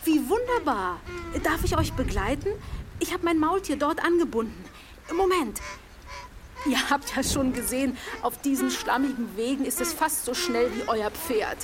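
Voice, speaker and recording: Eager high-voiced herb-gathering servant. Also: female voice, animated, normal speed. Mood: eager, deferential. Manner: deferential, eager, muttering. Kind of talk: radio drama acting.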